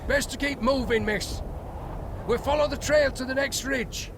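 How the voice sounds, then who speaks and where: Protective manservant, high-pitched, plain-spoken and deferential, period audio drama, heavy outdoor din behind him. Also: male voice, moderate pace, noisy background. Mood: steady, obliging. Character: protective, plain-spoken male servant of a moorland house.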